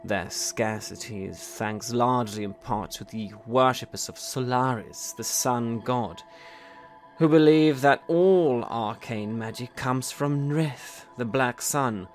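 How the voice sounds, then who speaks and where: A man narrating his character's backstory in a measured storytelling way. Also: mid-range voice. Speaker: podcast host and player. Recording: faint music behind.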